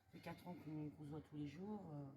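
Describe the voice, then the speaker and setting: A woman's voice: sits low, fairly quick, a crowd in the background. A woman chatting casually with a coworker, hesitant and repeating words. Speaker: hospital coworker. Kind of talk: casual chat. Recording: noisy background.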